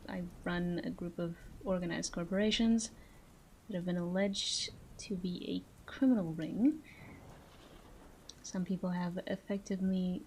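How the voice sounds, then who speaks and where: A woman playing a flirty, menacing female mob boss in a roleplay audio drama, teasing and deliberate, with breathy asides. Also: fairly low pitch, a little mellow, a little hiss or hum, poor audio.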